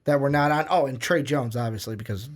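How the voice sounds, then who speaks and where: A man's voice: medium pitch, fast. A man hosting a casual sports discussion in a chatty, joking way. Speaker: sports show host.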